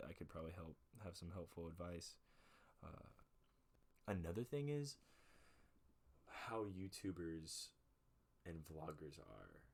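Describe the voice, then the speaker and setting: A man's voice: a bass voice, breathy edge. A young man hosting a casual home podcast, rambling with lots of fillers and self-corrections into a desk mic. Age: young adult. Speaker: podcast host. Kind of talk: relaxed casual chat. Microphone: USB desk mic with headphones.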